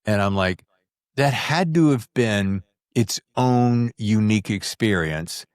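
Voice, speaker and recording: A man's voice: lowish voice, lively intonation, slow pace, clear, resonant tone, dry recording, studio quality. A man hosting a conversational interview podcast, asking rambling, circling questions. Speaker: podcast host.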